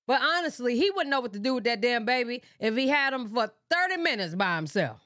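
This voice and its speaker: A woman in her thirties hosting a livestream, reacting and talking straight to her viewers in a sassy, animated way. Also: a little high, a little fast, no room sound, clean studio sound. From Michigan. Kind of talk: casual livestream reaction commentary. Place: bedroom streaming setup with pink lights. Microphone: desk mic in front of her.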